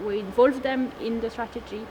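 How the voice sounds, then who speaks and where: A woman in her twenties from Lebanon and France, speaking in a slightly high voice. She answers interview questions casually, stopping often with fillers and restarts. Clear background noise runs under her speech. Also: fairly even intonation, brisk. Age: 20s. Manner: hesitant, many fillers and restarts. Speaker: food waste network founder. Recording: noisy background.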